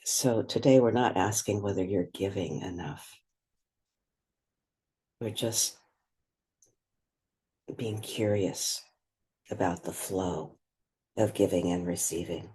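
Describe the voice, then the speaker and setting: A woman leading a guided meditation, speaking gently and without hurry, leaving long pauses between phrases. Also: a bass voice. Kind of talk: guided meditation, slow and spoken softly.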